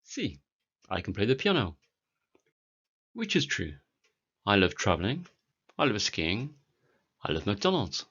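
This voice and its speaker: A British man in his forties teaching a beginner English lesson, speaking clearly and deliberately for learners. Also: lowish voice, sing-song, a bit bright, highs cut off. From Britain. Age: 40s.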